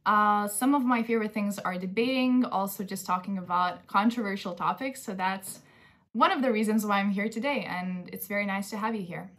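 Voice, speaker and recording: A woman's voice: medium pitch, fairly quick, fairly bright tone. A young woman from Lithuania, presenting a talk show in a conversational way. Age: young adult. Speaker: co-host. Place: bedroom at home, indoors.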